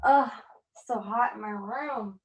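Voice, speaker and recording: A woman's voice: a little high, a little slow, noisy background. A woman chatting casually as the host organising a group recording from her room at home. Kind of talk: casual chat before a recording. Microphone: small personal microphone on a group call.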